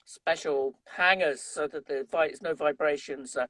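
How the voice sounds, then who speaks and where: A man's voice: on the high side, moderate pace, a bit bright, no room sound. A man in his fifties with an English Midlands accent, chatting as an interview guest and telling stories with lots of fillers and restarts. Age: 50s. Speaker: guest trumpet player. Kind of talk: conversational interview.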